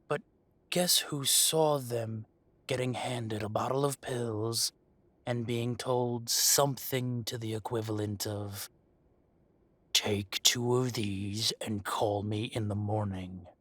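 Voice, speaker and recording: A man playing an arrogant dragon mafia boss in an audio roleplay, speaking deliberately with mock politeness and quiet threat. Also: medium pitch, fairly even intonation, no room sound. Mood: coolly menacing. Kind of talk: scripted roleplay audio drama monologue.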